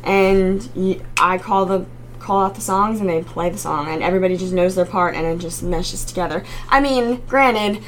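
A young woman with a raspy voice, a singer-songwriter guest chatting casually in an interview with frequent ums. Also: medium pitch, normal speed, slight background noise. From Maryland, United States.

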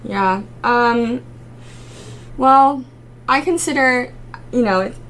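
A young woman with a raspy voice, a singer-songwriter guest chatting casually in an interview with frequent ums. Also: a little high, takes it slowly, a bit bright, slight background noise. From Maryland, United States.

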